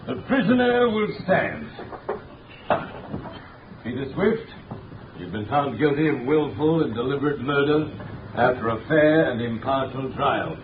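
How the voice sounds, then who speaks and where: Un actor haciendo de juez severo y solemne. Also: male voice, mid-range voice, expressive, lightly acted. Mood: formal. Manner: formal, measured pronouncements. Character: stern older male judge, formal and unshaken. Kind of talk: 1930s radio drama acting. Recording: tinny, echoey, distant, noisy 1930s radio.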